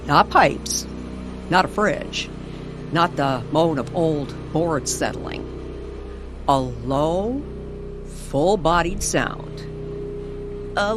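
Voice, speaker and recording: A woman narrating a mysterious story in old-time radio style, deliberate and suspenseful, with dramatic pauses. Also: on the low side. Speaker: co-narrator of an audio story series. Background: music under the voice.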